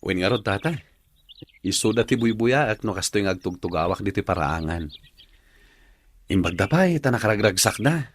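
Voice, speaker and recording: Nababa ti timekna nga agay-ayat a baro. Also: male voice, fairly low pitch, expressive, moderate pace, long pauses. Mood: respectful, relaxed. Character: earnest young male office worker, a caring suitor. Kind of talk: Ilocano radio drama acting.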